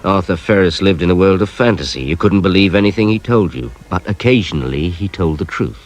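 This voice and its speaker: An actor playing a weary, dry, curt British police inspector in a radio drama. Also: male voice, low voice, lively intonation, fairly quick. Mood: regretful.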